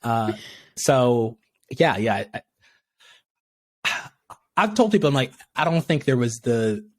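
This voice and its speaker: A young man from Tanzania, a podcast guest, chatting casually and acting out quotes with sudden jumps in pitch, laughing often. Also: big pitch swings, takes it slowly, no echo. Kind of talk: casual interview chat.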